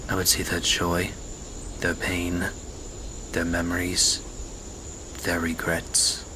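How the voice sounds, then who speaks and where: Man acting a deep-voiced brooding ex-assassin. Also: completely flat delivery, slow, noisy background. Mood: haunted, confessional. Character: brooding reformed assassin turned resistance leader. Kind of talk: audio drama acting.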